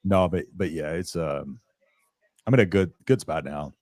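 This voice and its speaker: Deep, calm man with a radio voice. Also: moderate pace, dry recording, clean studio sound. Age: 30s. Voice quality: deep, even-keeled radio voice. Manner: calm and steady, little expression. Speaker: co-host of a sports show. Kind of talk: casual chat.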